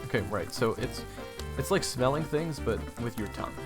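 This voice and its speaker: A man's voice: medium pitch, lively intonation, normal speed, natural voice. A man in his twenties playing an easygoing, wry young man who narrates his own story in an audio drama. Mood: casual, amused. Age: 20s. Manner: casual, conversational, wry. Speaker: narrator and lead character. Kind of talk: first-person audio drama narration and dialogue. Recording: music bed behind voice.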